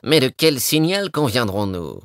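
A man's voice: mid-range voice, sing-song, measured pace, dry recording, clean studio sound. A man reading a storybook and voicing a cunning, boastful male fox who talks in a self-assured way. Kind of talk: storybook voice acting.

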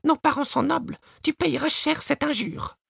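A brood of proud little wren chicks, squeaking in a very high, gravelly, breathy voice. They sound shrill and indignant. The recording is dry and close. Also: male voice, normal speed, a bit bright, no echo, heavily acted. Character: angry proud tiny baby wren birds. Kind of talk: storybook voice acting.

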